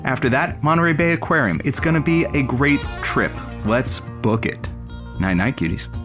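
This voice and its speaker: An actor playing a genial, wry radio producer and announcer, with playful deadpan asides. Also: male voice, mid-range voice, normal speed, close to an everyday voice. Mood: playful announcing. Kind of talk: radio announcing and audio drama banter. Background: music.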